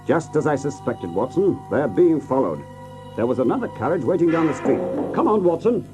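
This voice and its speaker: An actor playing a commanding, sharp-witted gentleman detective in an audio drama, authoritative, incisive and theatrical. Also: fairly high pitch, sing-song, brisk, soft background music, poor audio. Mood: urgent.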